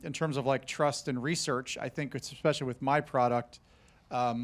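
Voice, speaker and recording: A man with a mid-pitched, ordinary voice answers on a live panel. He speaks hesitantly, pausing often and working through his thoughts aloud. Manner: hesitant, many fillers and restarts, thinking aloud. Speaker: panelist, brand marketer.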